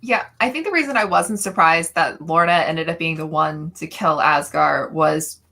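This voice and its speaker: Bright-voiced woman in casual podcast chat. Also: sits low, normal speed, creaky. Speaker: podcast guest. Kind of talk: casual chat, fan discussion.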